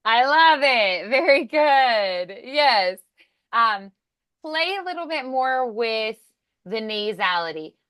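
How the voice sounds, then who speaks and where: Bright, slow-paced woman coaching. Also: on the high side, lively intonation, clear, resonant tone, dry recording, studio quality. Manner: encouraging. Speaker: voice acting coach. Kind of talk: one-on-one lesson talk.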